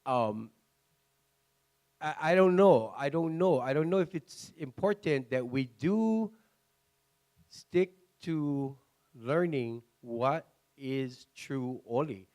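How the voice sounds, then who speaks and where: A man's voice: mid-range voice, takes it slowly, long pauses, darkish tone. Earnest man questioning on a panel. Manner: earnest, questioning, chants sounds to illustrate. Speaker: panel moderator. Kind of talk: panel discussion.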